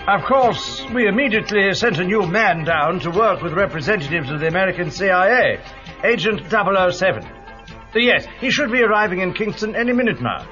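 An earnest intelligence chief reporting formally to a superior. His voice is notably high. The sound is a muffled lo-fi tape with music behind. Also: pushed and bright. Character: British spy chief briefing his superior. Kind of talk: 1980s storybook record acting.

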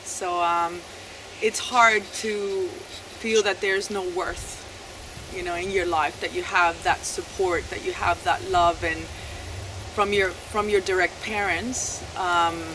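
A woman in her thirties, originally from Chile, speaks softly and haltingly, pausing often and restarting her sentences. She sits outdoors in a garden, picked up by a camera a few meters off. Steady outdoor noise sits clearly behind her voice. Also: on the low side. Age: 30s. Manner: soft-spoken, halting, many fillers and restarts. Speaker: interviewee sharing her testimony. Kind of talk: personal testimony, unscripted. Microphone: camera mic at a few meters, seated.

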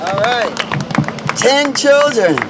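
A woman's voice: on the high side, animated, takes it slowly, over loud music, a loud crowd, low-quality recording. A middle-aged woman emceeing an outdoor public event at a podium microphone, mixing joking asides with formal introductions. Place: outdoor park lawn by a city building. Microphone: podium microphone on a stand. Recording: outdoor traffic noise. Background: city traffic and street ambience.

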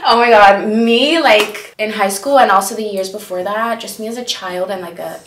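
A woman's voice: mid-range voice, moderate pace, a bit bright, a little hiss or hum. A young woman in her twenties whose first language is Dutch, chatting candidly in a casual diary vlog in her apartment, filmed by a camera a few feet away. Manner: chatty, candid, lots of "like" fillers. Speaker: vlogger. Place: apartment living room and kitchen. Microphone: camera on a tripod at a distance. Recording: roomy echo from camera distance.

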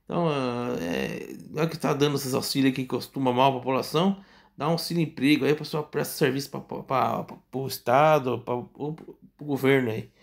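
A man with a Brazilian accent giving casual, off-the-cuff news commentary in a rambling, halting, repetitive way with frequent tag questions. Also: medium pitch, vocal fry. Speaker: political commentary vlogger.

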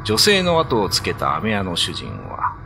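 A man's voice: lowish voice, huge pitch swings, normal speed, background noise. A man narrating a scripted ghost story as a dramatic storyteller. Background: background music.

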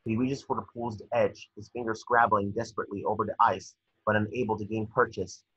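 Low, husky young man narrating. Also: flat delivery, brisk, a bit bright, dry recording. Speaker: narrator reading stage directions. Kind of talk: scripted screenplay narration. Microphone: computer mic on video call. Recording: dull, dry video-call audio.

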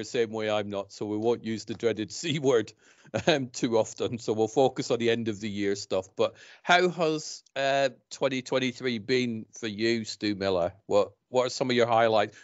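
A man's voice: medium pitch, normal speed, dry recording. A man in his forties hosting a podcast, asking his guest friendly prompting questions over a headset from a home studio. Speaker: podcast host. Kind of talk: casual podcast interview chat. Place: home studio room, indoors. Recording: dry, slightly dull call audio.

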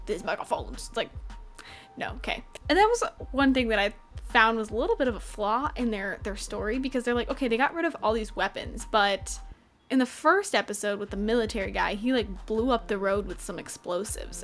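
A young woman in her twenties giving a casual, sarcastic anime review from her bedroom, swearing and laughing often into a desk microphone. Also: fairly high pitch. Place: bedroom at home. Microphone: desk microphone in front, webcam.

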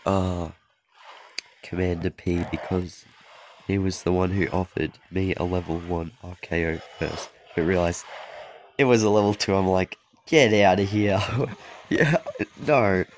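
A man's voice: low-pitched, fairly even intonation, measured pace, a little hiss or hum. A young man casually commenting on a mobile game he plays, rambling and correcting himself, with his voice a little off from being sick. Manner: rambling, self-correcting, chuckles often. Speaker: mobile game channel host. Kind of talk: gameplay commentary over a screen recording.

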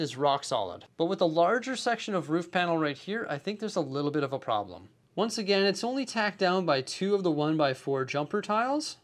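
Upbeat man reviewing a building set. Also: a little high. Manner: enthusiastic but nitpicky, explains while handling pieces. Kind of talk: hands-on product review.

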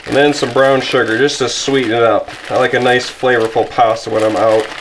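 A man's voice: mid-range voice, level tone, fairly quick, fairly bright tone, a little hiss or hum, low-quality recording. A young man casually narrating as he cooks in his home kitchen, easygoing and a little rambling, apologizing and restarting as he goes. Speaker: outdoor vlogger cooking camp meals. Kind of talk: casual how-to cooking narration. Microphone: camera filming from above the stove.

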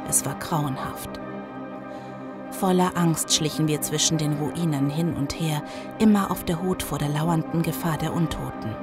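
An actress playing a grim zombie apocalypse survivor, narrating an audio drama in a sombre, measured way. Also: female voice, low voice, lightly acted. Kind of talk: scripted first-person audio drama narration. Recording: loud music under the voice. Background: loud music.

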